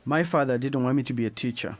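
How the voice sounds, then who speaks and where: Formal, stern headmaster played by an actor. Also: male voice, mid-range voice, quick pace, natural voice. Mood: measured, firm. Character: stern, rule-bound headteacher, sympathetic but unyielding. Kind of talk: radio drama acting.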